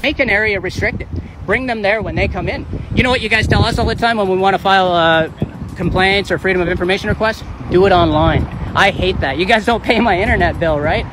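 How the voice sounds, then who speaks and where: A man's voice: high-pitched, fast. A man filming outside a police station, lecturing officers insistently and repeating his words as he argues. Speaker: citizen journalist filming police. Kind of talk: argumentative street confrontation. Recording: loud outdoor traffic noise.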